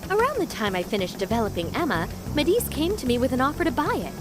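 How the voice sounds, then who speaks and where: A woman playing a bubbly, eccentric adult AI researcher in an animated game dub, breezy, chatty and enthusiastic. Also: on the high side, fast talker. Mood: breezy, curious. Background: music.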